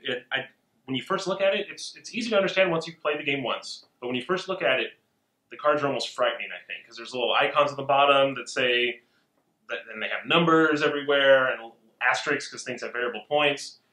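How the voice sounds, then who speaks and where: Bright-voiced man reviewing a board game. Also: mid-range voice, roomy sound, low-quality recording. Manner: relaxed, halting with fillers. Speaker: podcast co-host.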